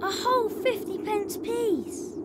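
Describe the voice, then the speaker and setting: A woman's voice: extremely high pitch, slow, very noisy. An actress playing an earnest, wide-eyed, kind-hearted young boy in a children's audio drama. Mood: amazed, eager.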